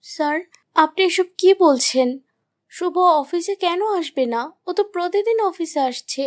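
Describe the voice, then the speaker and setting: A woman's voice: extremely high pitch, animated, measured pace, darkish tone. A woman playing an anxious, suspicious young wife who narrates a horror story in a dramatic, troubled manner. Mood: disbelieving. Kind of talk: first-person scripted horror story narration.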